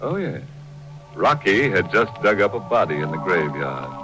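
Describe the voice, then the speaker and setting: An actor playing a ghoulish, mock-sinister horror host on 1940s radio, drawing out words with macabre wit. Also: male voice, low-pitched, sing-song, over music. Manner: slow, drawn-out, macabre wit. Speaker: host narrator. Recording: old 1940s radio broadcast recording.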